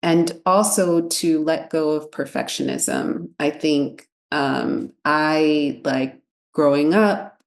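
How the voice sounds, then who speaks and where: A woman's voice: low-pitched, takes it slowly, creaky. A woman in her mid-thirties, an educator and podcast guest, speaking in an earnest, reflective way. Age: 30s. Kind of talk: casual interview chat.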